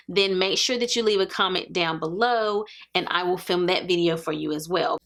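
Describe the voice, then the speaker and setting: Woman, forties, beauty vlogger, lowish voice, earnest, emphatic, chatty tutorial. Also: a bit bright. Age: 40s. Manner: earnest, emphatic, repeats points for stress. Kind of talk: chatty makeup tutorial talking to camera.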